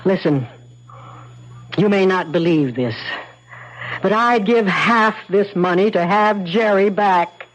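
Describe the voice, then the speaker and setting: An actress playing a bitter, outspoken wronged wife with a pointed, sarcastic manner in a 1970s radio drama. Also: female voice, mid-range voice, slow, pauses often, slight background noise, natural voice. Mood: sorrowful. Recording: muffled 1970s AM radio broadcast.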